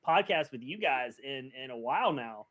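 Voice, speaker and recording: A man's voice: medium pitch, lively intonation, normal speed, a bit bright. A man on a podcast panel, chatting casually and thinking aloud in a rambling way. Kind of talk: casual chat.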